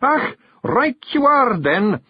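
High, blustering Scottish oddball. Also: male voice, very animated, slightly rough, no echo, lo-fi sound, exaggerated voice. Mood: bossy, exasperated. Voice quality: growly, snarling. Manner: blustering, halting, self-correcting, bossy. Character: bearded bumbling bossy stranger, tiny folk disguised as one man.

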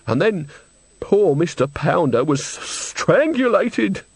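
Man voicing a high, quavering, tearful little old woman. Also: very animated, slow pace, slightly rough, no echo, exaggerated voice. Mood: tearful distress. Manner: fretful, plaintive, pleading.

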